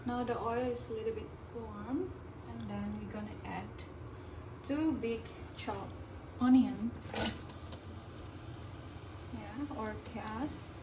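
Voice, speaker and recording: A young woman from Indonesia walks through a home recipe slowly and plainly, in a friendly, step-by-step manner. Her voice sits a little high, and she is some way off the mic. Frying and sizzling can be heard clearly behind her in the kitchen. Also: steady tone. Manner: friendly, explains step by step with small laughs. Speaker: cooking vlogger. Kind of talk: casual cooking tutorial. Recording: distant mic, audible sizzling kitchen noise.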